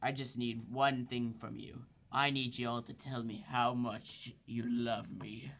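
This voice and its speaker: A man voicing Leonardo, an aging, ruthless mafia boss who talks in a slow, grumbling, theatrical way. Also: mid-range voice, level tone, noisy background, natural voice. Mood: gruff.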